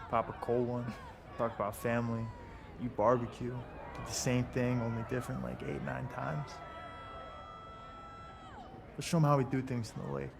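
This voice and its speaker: A cocky young man who races on the street in a video game. He is wry and laid-back, with a creaky mid-pitched voice and loud music behind him. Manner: deadpan, wry.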